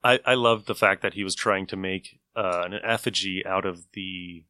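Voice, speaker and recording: Bright-voiced man hosting a casual podcast. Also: low-pitched, fairly even intonation, moderate pace, no echo. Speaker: podcast host. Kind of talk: casual chat reviewing old radio shows.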